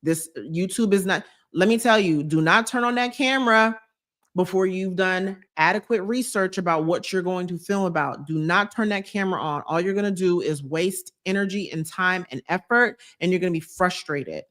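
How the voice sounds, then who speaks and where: A woman's voice: on the low side, fairly bright tone. Emphatic young woman creator chatting live. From United States, New Jersey. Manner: emphatic, repeats advice, drawn-out phrases. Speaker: YouTube creator. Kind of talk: live-stream Q&A chat, reading comments.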